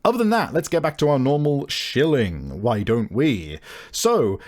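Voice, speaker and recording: A man's voice: medium pitch, big pitch swings, unhurried. A man hosting and game mastering, improvising vivid descriptive narration for a tabletop game. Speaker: game master and podcast host. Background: background music.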